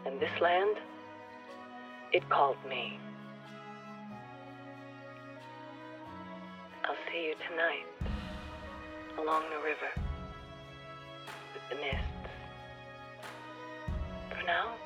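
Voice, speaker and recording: An earnest newcomer in a radio play, confiding with wistful wonder and sometimes dropping to a hush. The sound is slightly muffled, with music underneath. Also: female voice, fairly low pitch, slow, long pauses. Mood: tender, earnest. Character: earnest nature-loving newcomer woman speaking to a departed listener.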